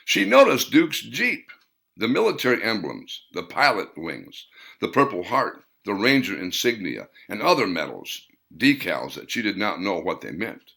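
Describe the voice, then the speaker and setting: A man narrates his own novel as an audiobook. His voice sits fairly low but carries a bright, forceful edge. He tells the story in a measured, deliberate way, leaning into the slower moments. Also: sing-song, creaky.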